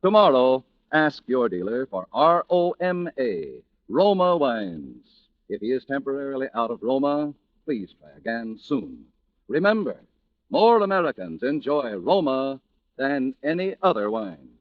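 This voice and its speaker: A polished male announcer delivers a formal 1940s radio advertisement. His intonation rises and falls in a lively way. The sound is dry and muffled, like an old broadcast. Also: medium pitch, unhurried, dry recording. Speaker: commercial announcer. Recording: dull, lo-fi vintage radio recording.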